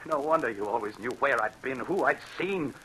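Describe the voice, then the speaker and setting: A man's voice: mid-range voice, expressive, brisk, natural voice. An actor playing a haunted, fearful man in an old radio drama, melodramatic and intense, stammering under strain. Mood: accusing, frenzied. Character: haunted, fearful man tormented by a ghostly cat-woman. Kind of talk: 1940s radio drama acting. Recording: hissy old radio broadcast.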